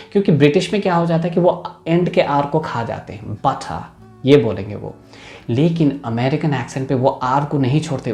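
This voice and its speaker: A man's voice: mid-range voice, a little mellow, reverberant. A man with an Indian accent, an English teacher giving a casual video lesson and mixing English into his talk. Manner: chatty, mixes English into the talk.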